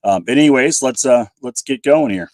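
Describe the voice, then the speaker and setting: A man's voice: mid-range voice, normal speed, music in the background. A man casually hosting a panel livestream, his voice a little scratchy from a cold.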